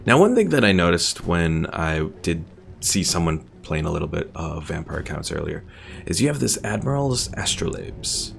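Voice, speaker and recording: A man's voice: low voice, big pitch swings, moderate pace, gravelly, slight background noise. A man giving casual gameplay commentary as a streamer, musing and thinking aloud as he goes. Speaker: game streamer.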